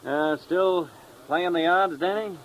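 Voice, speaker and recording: A man's voice: high-pitched, slow pace, fairly bright tone, rough recording. An actor playing a friendly, gossipy small-town gas station man in a 1950s radio drama. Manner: folksy, gossipy. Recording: old radio recording, faint noise.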